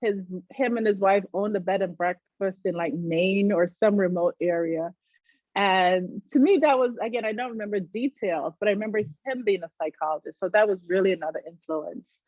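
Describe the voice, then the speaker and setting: A woman's voice: mid-range voice, moderate pace, no echo. A middle-aged woman from Barbados, a psychologist, reflecting thoughtfully as a guest in an interview. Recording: dry tinny phone-like line.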